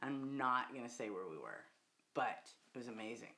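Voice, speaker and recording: A man's voice: mid-range voice, animated, moderate pace, bright, forceful tone, slight background noise. A man who hunts and prepares fossils, recounting his work in a documentary interview.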